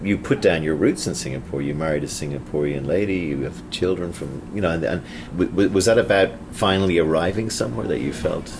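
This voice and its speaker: Brief: male host, very deep voice, chatty interviewer, noisy hotel room. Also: brisk, creaky, hardly any pauses. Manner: chatty, frequent affirming "yeah". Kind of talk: casual chat.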